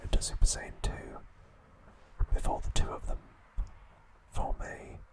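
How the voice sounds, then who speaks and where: Whispering male ASMR narrator. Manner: slow, hushed, deliberate phrasing with pauses. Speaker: ASMR channel host.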